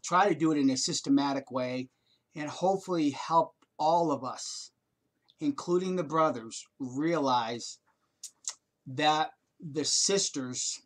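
Older man, earnest, preachy, slow-paced, home webcam recording. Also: a little high. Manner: earnest, preachy, addresses listeners directly. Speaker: co-host. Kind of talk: casual video chat about faith. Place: home room, indoors. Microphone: webcam video call framing.

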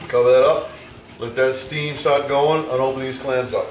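A middle-aged man hosting a home cooking show, walking through a recipe in a relaxed, folksy way with wry jokes. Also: medium pitch, moderate pace. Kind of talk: casual cooking demonstration. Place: home kitchen, pans sizzling. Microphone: camera mic from a distance. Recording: echoey home video from far off.

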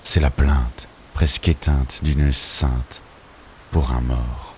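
Very deep, slow man reciting poetry. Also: fairly even intonation, darkish tone, a little hiss or hum. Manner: solemn, measured, theatrical delivery. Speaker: poetry reader.

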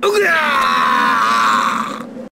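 An actor playing a hot-tempered male ice-wielding villain in an anime video game, with a coarse, raspy, gravelly, snarling delivery. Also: high-pitched, sing-song, normal speed, background noise, heavily acted. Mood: battle fury.

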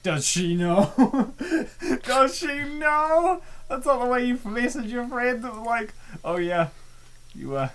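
A man hosting a comic dub, giving joking, theatrical and excitable commentary and reading comments aloud. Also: very high voice, slow.

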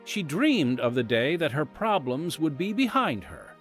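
Male host, upbeat scripted intro, lively pitch, music under voice. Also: measured pace. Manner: upbeat, emphatic presenting. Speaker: podcast host.

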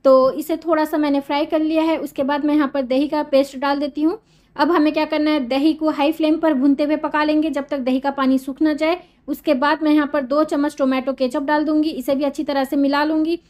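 High-voiced woman explaining a recipe. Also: a little mellow. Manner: patient, instructive, repeats steps. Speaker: cooking channel host. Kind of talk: step-by-step recipe voice-over.

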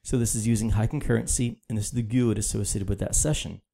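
A man presenting a casual screen-recorded software tutorial, walking through steps in a steady, explanatory way. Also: lowish voice, fast talker, vocal fry. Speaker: product demo presenter.

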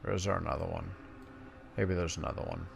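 A man casually commentates on a game he is playing. His low voice is creaky and swings about in pitch. He keeps it playful and joking, with faint noise behind him. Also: sing-song, fast. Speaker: gamer commentating on own play. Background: video game sounds.

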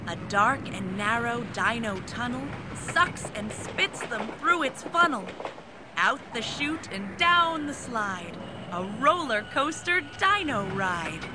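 A woman reading a rhyming children's picture book aloud in an expressive, measured manner. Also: a little high, background noise. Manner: expressive, measured rhyming delivery with acted exclamations. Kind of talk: read-aloud children's story narration.

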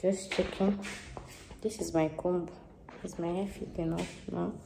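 A woman's voice: lowish voice, level tone, measured pace. A young Nigerian woman with a Nigerian accent, chatting to viewers while packing and dropping in Yoruba phrases, filmed on a handheld camera. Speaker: vlogger. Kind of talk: casual vlog chat. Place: large empty house with tiled floors, indoors. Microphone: handheld camera at arm's length. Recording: echoey empty room with clear noise.

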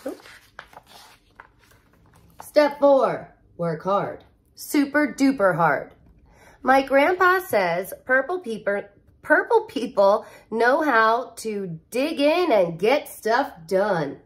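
Woman, thirties, high lively voice, children's storytime read-aloud, expressive and chatty with the kids. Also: slow pace. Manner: expressive, addressing young listeners directly. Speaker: teacher reading aloud. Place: living room at home, indoors.

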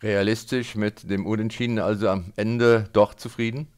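A man interviews for sports radio after a match. His voice is fairly low and smooth, with a clean, resonant sound as he puts his questions. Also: little pitch movement, moderate pace.

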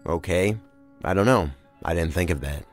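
A man acting a veteran crime agent in an audio drama. His voice is very low, his delivery dry, wry and guarded, over a faint music bed. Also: moderate pace, lightly acted. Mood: defensive. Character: weary, wry male career organized-crime agent.

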